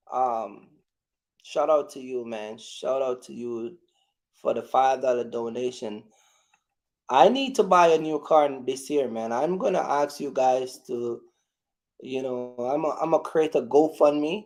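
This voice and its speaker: A man hosting a casual live question-and-answer chat, speaking in a halting, repetitive way and often addressing his audience directly. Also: mid-range voice, darkish tone. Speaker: livestream host.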